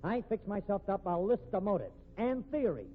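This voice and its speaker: An actor playing a gruff, stubborn, irritable police detective, blunt and slangy, in 1950s radio drama. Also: male voice, high-pitched, sing-song, moderate pace. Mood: dogged, accusing. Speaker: police investigator. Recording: tinny, noisy vintage radio recording.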